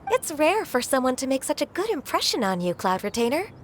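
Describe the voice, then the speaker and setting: A woman voicing a gentle, polite and earnest young female disciple in an anime game, soft-spoken and courteous. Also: high voice, big pitch swings, a little fast, a little hiss or hum. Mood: mild.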